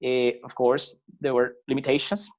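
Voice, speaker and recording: A man from Venezuela, a musician guest on a podcast, talking in a relaxed, hesitant way with many fillers and restarts. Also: mid-range voice, normal speed. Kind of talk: casual conversational interview. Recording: slightly dull lo-fi sound.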